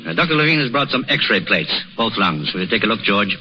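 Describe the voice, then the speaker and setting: A man's voice: lowish voice, big pitch swings, fast talker. A man playing a genial, fatherly senior doctor in a 1930s radio drama, encouraging and formal in his speech. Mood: brisk, kindly. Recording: dull, noisy 1930s radio recording.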